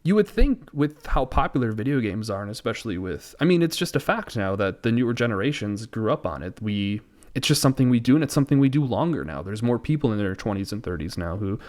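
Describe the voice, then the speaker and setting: A man's voice: mid-range voice, big pitch swings, fast talker, few pauses, darkish tone. A man with a mid-range voice chats casually as a podcast co-host. He rambles through his complaints, sounding incredulous and exasperated. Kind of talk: casual chat, film ranting.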